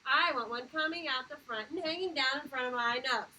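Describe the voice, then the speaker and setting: A woman's voice: high voice, a little fast, sharp tone, distant mic, a little hiss or hum. A woman reading a storybook aloud, voicing a stubborn, feisty schoolgirl with emphatic, defiant delivery.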